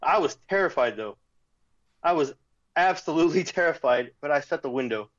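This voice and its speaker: A man guest telling a personal story in casual talk, animated when quoting others, with restarts. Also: a little high, normal speed, dull audio. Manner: animated retelling with quoted voices, restarts.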